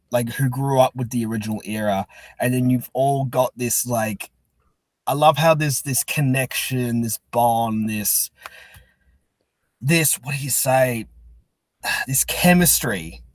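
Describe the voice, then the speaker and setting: A man's voice: medium pitch, measured pace, no room sound. Enthusiastic man hosting a casual interview. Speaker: host interviewing a guest.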